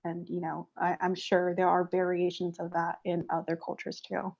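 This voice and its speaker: The audio is a little dull and lo-fi. An American woman with a slightly low voice talks casually in a group discussion, tentative and halting as she picks her words. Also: completely flat delivery, vocal fry, darkish tone. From born in China, grew up in America.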